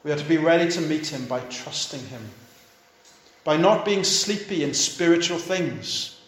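A man's voice: a little high, lively intonation, normal speed, echoey room. A fifty-year-old male pastor preaching a sermon, urging his listeners on in short, repeated, earnest phrases.

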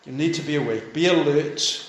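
A man's voice: fairly high pitch, normal speed, echoey room, slight background noise, low-quality recording. A fifty-year-old male pastor preaching a sermon, urging his listeners on in short, repeated, earnest phrases.

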